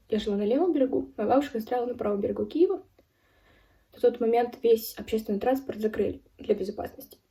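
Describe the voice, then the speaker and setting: A woman in her early twenties from Ukraine giving a serious personal account as a video blogger, speaking in halting, deliberate phrases with pauses. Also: on the high side, mellow, far from the mic. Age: early 20s. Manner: serious, solemn. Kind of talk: personal account spoken to camera.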